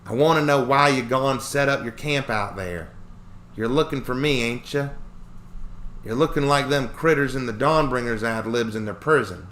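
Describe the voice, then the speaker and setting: A man voicing a gruff Southern dragon-girl. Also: medium pitch, normal speed, fairly bright tone, voice pushed up, lightly acted. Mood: hostile. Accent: Southern US country. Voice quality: rough, drawling, snappish. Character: hostile young female dragon-girl, gruff, suspicious. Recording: roomy echo, faint noise.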